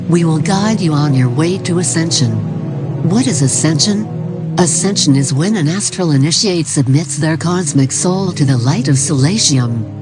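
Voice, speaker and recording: A performer playing a calm, formal cult recruitment narrator, reading a scripted instructional tape in a measured, solemn way. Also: mid-range voice, lightly acted. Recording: 1990s tape-style narration over music. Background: music.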